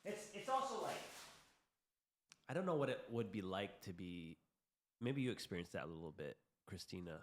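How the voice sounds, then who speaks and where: A man's voice: low voice, very animated, moderate pace, long pauses. A man chatting casually on a podcast, rambling and opinionated, laughing at himself as he goes. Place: room in Taiwan. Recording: roomy echo.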